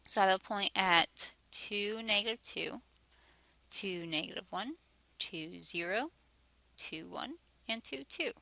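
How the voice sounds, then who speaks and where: Woman teacher going thru math problems step by step, kinda hoarse, bright tense voice, dry close sound. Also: medium pitch, slow, no echo. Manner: methodical, talks through each step aloud. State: slightly hoarse, losing her voice.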